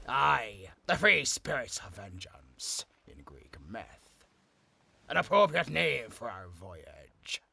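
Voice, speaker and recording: A man playing a gruff, theatrical gentleman pirate captain with a gravelly, raspy voice in an audio roleplay. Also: medium pitch, exaggerated voice. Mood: proud, musing. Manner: theatrical pirate talk, slow and expressive.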